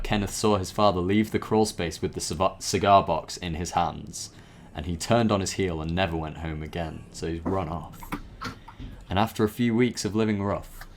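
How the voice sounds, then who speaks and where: A man giving an informal dramatic reading of a story, stumbling now and then and adding chatty asides. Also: low voice. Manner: stumbles over words and breaks off to comment. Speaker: host reading a backstory aloud.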